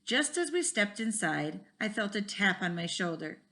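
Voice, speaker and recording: Animated woman reading a storybook aloud. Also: medium pitch. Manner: animated, pauses to pose questions to listeners. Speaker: teacher reading aloud to children. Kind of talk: expressive storybook read-aloud.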